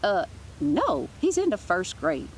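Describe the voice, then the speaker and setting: A woman's voice: fairly low pitch, huge pitch swings, takes it slowly, a little hiss or hum, full character voice. A woman voicing a sly, brazen schoolgirl bully in a storybook, blunt and taunting. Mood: sly, feigned innocence.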